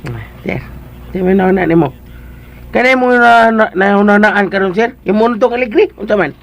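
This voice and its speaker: An actor playing an excitable, deferential male servant in a radio drama, chattering in a flustered, eager-to-please way. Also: high voice, normal speed. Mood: cajoling, chatty. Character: Bitoy, excitable deferential male servant to a wheelchair-using boss.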